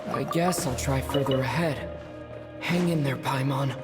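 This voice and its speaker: A man's voice: medium pitch, unhurried, close to an everyday voice. A man voicing an earnest young traveler hero in a video game, thinking aloud with concern. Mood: pondering, determined. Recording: loud game music behind the voice.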